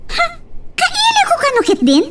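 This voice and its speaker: A woman's voice: very high voice, wildly swooping pitch, moderate pace, a bit bright, a little hiss or hum, full character voice. A woman playing a proud, feisty young daughter of a rich man in an audio drama, with an Ilocano accent. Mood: exasperated. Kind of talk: amateur audio drama acting.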